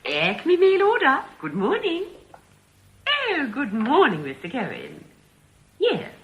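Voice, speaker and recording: An actress playing a brisk, prim reformed crook working as an office clerk in a noisy recording of a radio drama. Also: female voice, sits high, wildly swooping pitch, lo-fi sound, exaggerated voice. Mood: brisk, businesslike. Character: brisk, prim ex-shoplifter running mail-order office.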